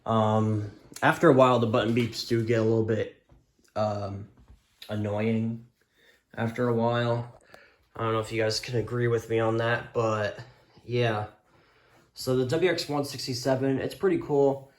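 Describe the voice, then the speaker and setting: A man's voice: medium pitch, fairly even intonation, measured pace. A man casually showing off a gadget on a vlog, unscripted, hesitant and repetitive. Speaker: weather radio hobbyist vlogger. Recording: echoey room with background hiss.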